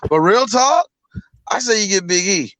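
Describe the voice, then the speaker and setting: A man co-hosting a casual podcast over a call, excited and emphatic, swearing freely. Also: high voice, normal speed, no room sound, low-quality recording. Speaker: podcast co-host. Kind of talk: casual chat.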